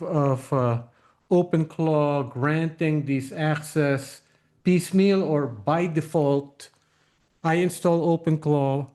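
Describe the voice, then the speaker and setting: A man's voice: on the high side, darkish tone. A man hosting a conversational interview, probing and checking his understanding with repeated questions. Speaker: podcast host, interviewer.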